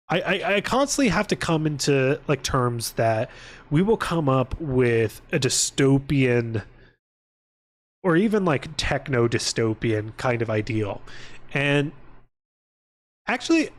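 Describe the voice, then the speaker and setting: A man chatting casually on a livestream, informal and sweary, often interrupting himself and drifting onto tangents. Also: medium pitch. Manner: profane, informal, tangent-prone, self-interrupting. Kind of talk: casual rambling livestream chat.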